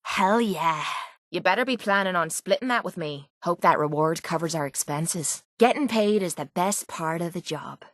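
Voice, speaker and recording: A woman's voice: lowish voice, lively intonation, normal speed, no room sound, close to an everyday voice. A woman voicing a tough, sarcastic young Irish mercenary in a video game, with blunt, cocky, slangy delivery. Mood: cocky, sardonic. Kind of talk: video game companion voice lines.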